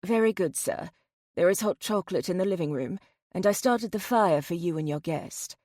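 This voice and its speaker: A woman's voice: lowish voice, expressive, normal speed, darkish tone, no room sound, studio quality. A woman voicing a formal, deferential British butler, an older man, in an audiobook. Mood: polite, deferential.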